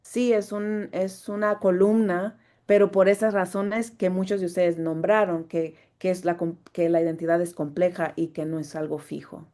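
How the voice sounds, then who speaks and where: A woman's voice: lowish voice, fairly even intonation, moderate pace, few pauses, mellow. A woman who teaches voice, speaking thoughtfully on a video call, searching for her words and restarting often.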